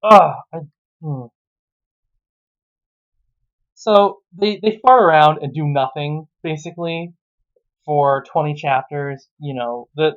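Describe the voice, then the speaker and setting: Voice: medium pitch, lo-fi sound. A sarcastic, exasperated reviewer who swears freely while giving casual commentary on a story.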